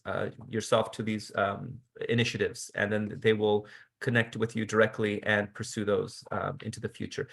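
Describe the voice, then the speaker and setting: A man's voice: medium pitch, creaky, a bit bright. A man moderating an online meeting, hesitant with many uhs and ums as he hands over to others.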